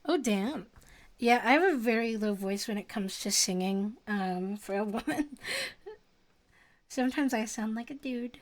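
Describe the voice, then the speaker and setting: A woman's voice: fairly high pitch, expressive, unhurried. A young woman streamer chatting casually with her viewers and giggling often. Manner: giggly, chatty, reads chat aloud.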